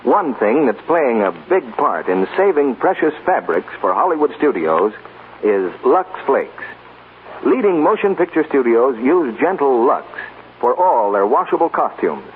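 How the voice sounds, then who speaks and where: An American man delivers a 1940s radio advertisement in a polished, earnest sales style. His pitch moves around a lot. The recording is thin and narrow, with some background noise. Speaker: radio commercial announcer. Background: hiss and noise.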